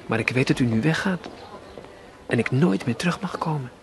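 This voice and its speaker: A man's voice: mid-range voice, expressive, moderate pace, a little hiss or hum, lightly acted. An eager, chattering ragged little girl, as a male storyteller puts her on. She talks in a raised, pleading voice, well above his plain narration. Storybook radio reading.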